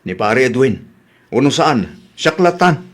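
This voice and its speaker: A man's voice: medium pitch, sing-song, unhurried. A man acting an easygoing husband who questions his wife in an Ilocano radio comedy drama. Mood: puzzled.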